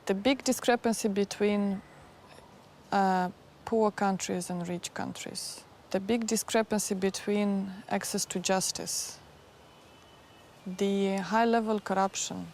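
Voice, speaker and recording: A woman who heads an NGO, speaking in a documentary interview in a deliberate, serious way. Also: mid-range voice, fairly even intonation, darkish tone, a little hiss or hum. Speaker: NGO head.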